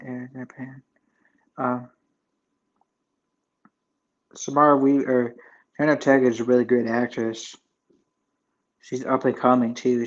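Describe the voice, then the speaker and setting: A young man in his twenties hosting a live chat, talking in a loose, rambling way full of fillers and restarts. His voice stays level, with little rise and fall. The audio sounds dull and lo-fi. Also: medium pitch. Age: 20s. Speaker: livestream host chatting with viewers.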